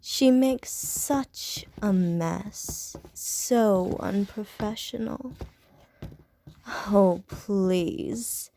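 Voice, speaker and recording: A woman voicing a short, flirtatious female vampire in an ASMR roleplay, speaking softly in a half-whisper and teasing with innuendo. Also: mid-range voice, drawn-out words, gravelly, slight background noise. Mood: flirty whisper. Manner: soft, half-whispered, intimate delivery.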